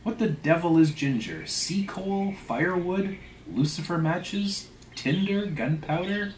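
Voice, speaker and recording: A man's voice: mid-range voice, big pitch swings, moderate pace, roomy sound, distant mic, a little hiss or hum, natural voice. A man reading a storybook sea tale as an indignant, sarcastic ship's mate who scolds in emphatic, mock-polite rhetorical questions. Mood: sarcastic outrage. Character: indignant, sarcastic male whaling second mate, suspicious and blustering. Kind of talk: dramatic reading of a classic sea novel.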